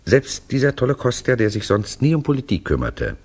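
A man playing a knowing, reflective storyteller in a German radio play, explaining patiently and deliberately in conversation. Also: mid-range voice, wildly swooping pitch, slightly rough. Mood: storytelling. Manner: deliberate, ruminative, gently correcting.

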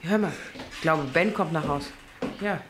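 A woman's voice: sits low, moderate pace, close to an everyday voice. Woman playing a weary, soothing wife. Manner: placating, restrained. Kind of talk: German radio drama acting. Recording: hissy old radio drama recording.

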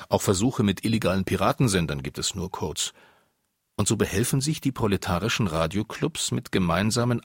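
A man with a deep, husky voice narrates a documentary from a script. The sound is dry and free of room echo.

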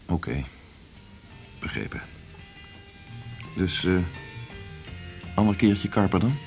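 A man's voice: deep voice, lively intonation, slow, darkish tone, soft background music, full character voice. A man acting in a 1980s Dutch radio drama as a brusque, authoritative doctor and mentor, curt and domineering, with a Netherlands Dutch accent. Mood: curt, coaxing.

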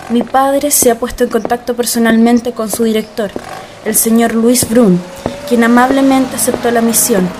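A woman's voice: fairly high pitch, steady tone, moderate pace, background noise, lightly acted. A woman playing a refined, courteous president's daughter in a radio drama, speaking formally and precisely. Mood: self-assured. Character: refined president's daughter, polite, anxious, self-assured. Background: background music.

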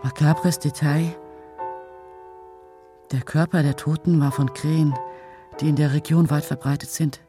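A musing woman reads aloud in a radio crime drama. Her delivery is very unhurried and deliberate. Music is clearly present behind her. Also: deep voice, steady tone. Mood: musing, grave.

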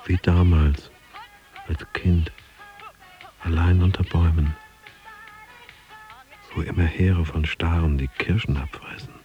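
A man playing a worn-out, embittered office worker in an audio drama, musing in a halting stream of consciousness. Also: low-pitched, fairly even intonation, highs cut off, soft background music.

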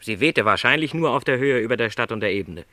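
A man's voice: mid-range voice, lively intonation, fast talker, pushed and bright, no room sound. An actor playing a lonely, dreamy middle-aged travelling salesman in a radio drama, speaking in reflective, rhapsodic literary phrases. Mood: insistent, dreamy.

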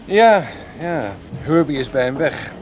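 A man's voice: a little high, very animated, slow pace, background noise. A man playing a flirtatious, sociable jazz disc jockey with a self-deprecating manner in a radio drama. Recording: clear crowd chatter behind. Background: bar crowd.

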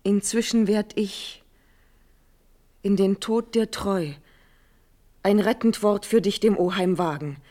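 A woman's voice: medium pitch, slow, pauses often, dark tone, natural voice. An actress playing a devoted young noblewoman in a classical verse drama, speaking with solemn, elevated declamation. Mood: solemn, tender. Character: devoted, brave young noblewoman pleading for her cousin.

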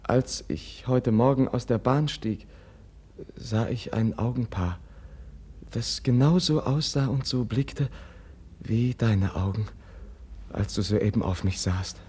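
A mild, earnest young prince in an old radio drama. His voice is dark, soft and husky. He speaks openly and searchingly. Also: mid-range voice, takes it slowly. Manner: soft, sincere, searching questions. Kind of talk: 1950s radio drama acting.

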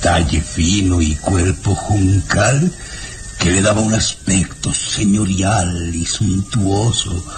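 An actor playing an older baron, an eerily doting father, speaking slowly and insistently in a radio drama with music behind. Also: low voice, fairly even intonation, noisy background. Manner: slow, insistent, with dry chuckles. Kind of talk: 1960s radio drama acting.

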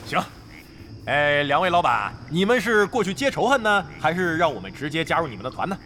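A man's voice: fairly high pitch, sing-song, a little fast, pushed and bright, slight background noise. An actor playing a brash, crafty middle-aged veteran gamer in a Chinese audio drama, cocky and slangy, grumbling and swearing as he banters. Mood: cocky, teasing.

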